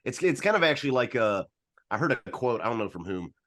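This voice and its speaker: A man's voice: medium pitch, very animated, fast, fairly bright tone. A man with a rambling, earnest way of talking, hosting a casual film discussion and often cutting into his own sentences. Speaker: film podcast host.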